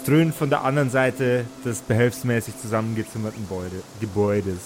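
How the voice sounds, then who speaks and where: A man narrating as game master of a tabletop role-playing audio play, improvising descriptions in deliberate phrases with pauses. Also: fairly low pitch, steady tone, music in the background.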